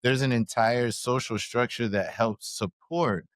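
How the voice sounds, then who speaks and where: Sex: male. Role: podcast co-host. Pitch: average. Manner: animated, chatty storyteller, many "like"s. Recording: dry, close. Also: measured pace, dry recording. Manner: animated storyteller, frequent "like" and "right?". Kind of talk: casual conversation. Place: live recording at a conference.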